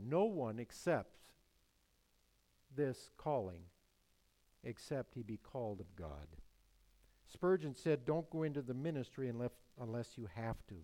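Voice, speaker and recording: An older man preaching a sermon, stressing key words and repeating phrases, sometimes rising and then dropping to a hush. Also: mid-range voice. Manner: emphatic, repeats key phrases, swings between loud and hushed. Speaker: pastor.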